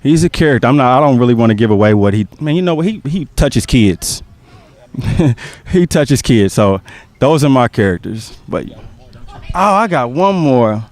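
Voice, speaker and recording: A man's voice: mid-range voice, lively intonation, normal speed, darkish tone, no room sound. A young man, a stage actor, chatting casually in an interview, laid-back and joking, dropping "man" into his sentences.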